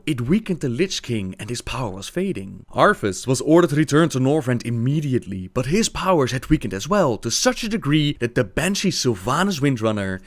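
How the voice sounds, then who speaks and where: A man giving a storytelling narration as he recaps a video game's story. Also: mid-range voice.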